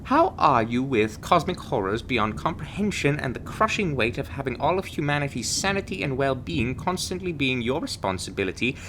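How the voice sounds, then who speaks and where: A man's voice: medium pitch, a bit bright, a little hiss or hum. A man playing a chirpy, breezily menacing recruiter in an ASMR roleplay, glibly cheerful about grim things. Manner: cheerful, glib delivery of grim threats.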